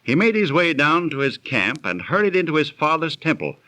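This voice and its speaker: An actor in a 1930s radio drama playing a well-travelled, genteel storyteller recounting an old legend theatrically. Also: male voice, fairly high pitch, very animated, a little fast, sharp tone, dry recording. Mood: absorbed, dramatic.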